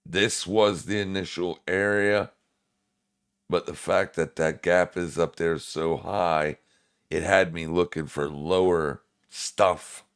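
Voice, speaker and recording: A man with a very deep smoker's voice hosts a live trading stream. His delivery is slow and smooth, with clean resonance. He comments casually in a laid-back, slangy way, speaking straight to his viewers. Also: fairly even intonation.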